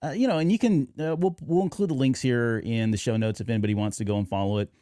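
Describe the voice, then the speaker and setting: A man's voice: mid-range voice, quick pace, mellow, dry recording, studio quality. A middle-aged man hosting a casual fan podcast, chatting in a rambling, conversational way. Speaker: podcast host.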